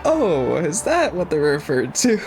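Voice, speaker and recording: A woman's voice: low-pitched, big pitch swings, unhurried, a little mellow. A woman streaming a game, giving casual live reactions in a wry, amused way. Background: game music.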